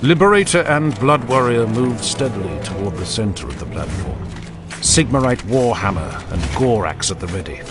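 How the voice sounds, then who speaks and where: A man narrating a dramatic fantasy audiobook in a grave, deliberate manner. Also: on the low side, very animated, over music.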